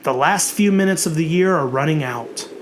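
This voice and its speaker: A narrator reading a horror story in a theatrical, dramatic way, with emphatic, drawn-out words. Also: medium pitch, a little hiss or hum.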